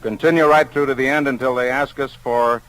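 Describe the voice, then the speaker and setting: A man working as a 1940s radio street interviewer, deliberate, repeating answers back as he questions passers-by. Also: mid-range voice, fairly even intonation, a bit bright, poor audio. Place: outdoors on a downtown street. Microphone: broadcast street microphone.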